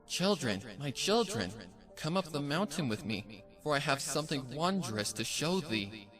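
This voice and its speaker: Man putting on an eerie high motherly spirit. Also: big pitch swings, a little slow, over music, low-quality recording. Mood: eerie, coaxing. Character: eerie spirit luring children, mimicking a mother.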